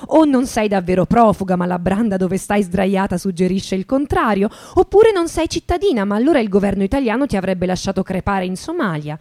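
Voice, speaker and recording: A woman giving a deliberate, theatrical reading of literary narration. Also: mid-range voice, lively intonation, a little mellow. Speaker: reader and stage performer. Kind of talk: dramatic literary reading, second-person narration. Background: plucked acoustic guitar music.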